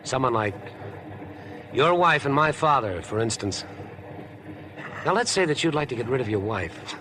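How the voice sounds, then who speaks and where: A man plays an idle young rich man in an old-time radio drama. His pitch swings widely as he boasts and insinuates. He is eager, chatty and far too familiar, with something unsettling underneath. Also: sing-song, moderate pace, noisy background, close to an everyday voice. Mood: scheming, excited. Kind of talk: 1950s radio drama acting.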